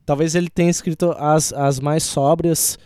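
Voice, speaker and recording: This is a Brazilian man with a fairly high, clean and smooth voice. He talks casually as part of a group discussion, pressing his points insistently and repeating himself. The sound is dry, with no room around it. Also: fairly even intonation, moderate pace, dark tone, no room sound. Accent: Brazilian Portuguese. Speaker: panelist in a film discussion. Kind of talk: casual group chat about a film.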